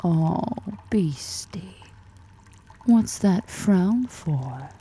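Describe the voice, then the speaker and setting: A woman playing a seductive, powerful female drider in an ASMR roleplay, toying and sultry, murmuring with a breathy, husky edge. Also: fairly low pitch, lively intonation, drawn-out words, dark tone, exaggerated voice. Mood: teasing menace. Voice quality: breathy, husky whisper-edged.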